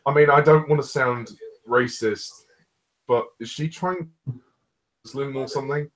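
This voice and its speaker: A man's voice: medium pitch, measured pace, rough recording. A man giving casual, sarcastic fan commentary over a movie, blunt and given to swearing.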